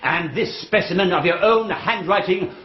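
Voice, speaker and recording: An actor playing a relentless prosecuting barrister with an English accent, pompous, incredulous and deliberate, in a radio drama. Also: male voice, high voice, close to an everyday voice. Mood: accusing. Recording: slightly dull, echoing courtroom-like room sound.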